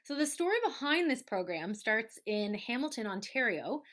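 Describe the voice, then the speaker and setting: A woman's voice: on the high side, sing-song, normal speed, a bit bright. A woman presenting a show in an informal, conversational style as its host.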